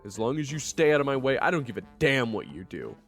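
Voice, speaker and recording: The deep, indignant snap of a woman playing the party's gruff hothead in a fantasy audio roleplay, bristling over faint music. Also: sing-song, fast, heavily acted. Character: hot-tempered, prickly, defensive adventurer. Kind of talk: audio drama banter.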